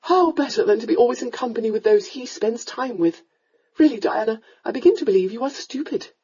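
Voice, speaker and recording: A woman's voice: very animated, fairly quick, warm tone, breathy edge, lo-fi sound, exaggerated voice. A woman voicing a fussy, domineering countess scolding her daughter in a storybook reading. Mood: commanding. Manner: scolding, shrill, melodramatic.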